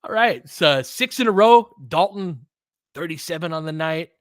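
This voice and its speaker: A young man hosting a casual live game stream, giving play-by-play and chatting with viewers by name in a relaxed, conversational way. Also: fairly high pitch, normal speed, dry recording, studio quality. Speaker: host of a live game watch-along stream.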